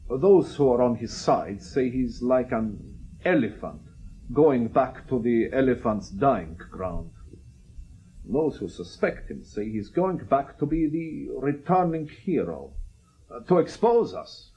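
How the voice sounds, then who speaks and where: A man acting in a radio drama as a wary, probing Soviet KGB major, speaking formally and deliberately in clipped phrases. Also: mid-range voice, sing-song, dark tone, muffled recording. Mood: cynical.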